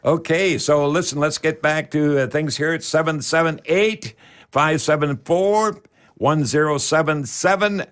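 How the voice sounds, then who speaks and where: An older man with a Canadian accent hosting a casual radio talk show in a folksy, halting way. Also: a little high, fairly bright tone.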